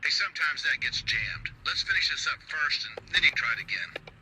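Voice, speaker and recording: An actor playing a fussy, opinionated radio call screener in a video game, gossipy and bossy. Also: male voice, a little high, lively intonation, fairly quick, bright, forceful tone, slight background noise, poor audio.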